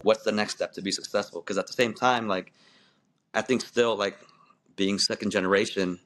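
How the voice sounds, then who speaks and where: A man in his forties with a low voice talks through his story on a podcast, circling back with restarts and "you know"s, laughing now and then. It is relaxed, unscripted interview talk. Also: normal speed, a little hiss or hum, low-quality recording. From born in Malaysia, raised in the United States. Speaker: wrestling referee, podcast guest.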